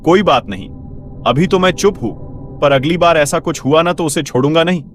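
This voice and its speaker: Man acting a blustering, high-ish village householder. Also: normal speed, a little hiss or hum, natural voice. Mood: warning. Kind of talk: cartoon story voice acting.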